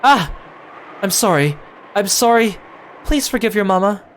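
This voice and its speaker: A man's voice: high-pitched, animated, a little slow, a little hiss or hum, voice pushed up. A man reading the lines of a distraught, remorseful mother who pleads for forgiveness, in a visual novel read aloud on stream. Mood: tearful pleading. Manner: pleading, apologetic.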